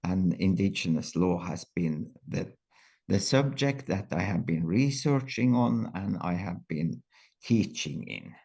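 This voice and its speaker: Slow gravelly older man, halting. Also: sits low, animated, darkish tone. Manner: halting, many fillers and restarts. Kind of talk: reflective interview answers.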